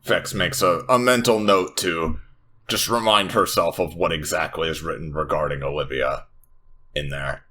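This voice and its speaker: Male tabletop player, very low but forceful voice, improvised roleplay narration. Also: fairly even intonation, normal speed. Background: faint background music.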